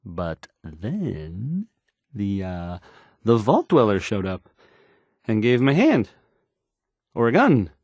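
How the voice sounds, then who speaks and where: Stammering, nervous radio DJ. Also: male voice, on the low side, huge pitch swings, takes it slowly, long pauses, darkish tone, no echo, full character voice. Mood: hesitant. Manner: stammering, hesitant, self-doubting. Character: nervous, bumbling, insecure young male radio DJ. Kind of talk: halting radio news announcing.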